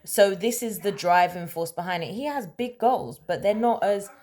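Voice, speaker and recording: A woman's voice: mid-range voice, lively intonation, normal speed, darkish tone. Woman telling a story, casually and emphatically. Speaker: storyteller, host of a story-time series.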